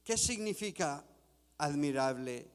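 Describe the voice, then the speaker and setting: A man preaching a sermon in a church, reading scripture slowly and deliberately. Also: a little high, expressive, smooth, big-room sound. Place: church sanctuary.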